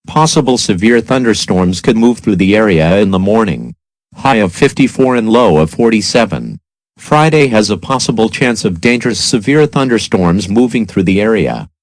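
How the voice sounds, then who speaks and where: A man's voice: lowish voice, no room sound, rough recording. A man playing a weather service announcer, reading a scripted forecast in a formal, matter-of-fact way.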